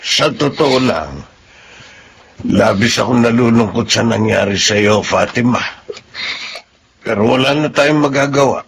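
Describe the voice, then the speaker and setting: Gravelly, kindly old retired soldier. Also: male voice, medium pitch, animated, a little slow, pauses often, slight background noise. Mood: gentle, consoling. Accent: Filipino. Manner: patient, earnest, admonishing. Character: kind, steadfast old retired soldier, fatherly grandfather. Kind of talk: Filipino radio drama acting.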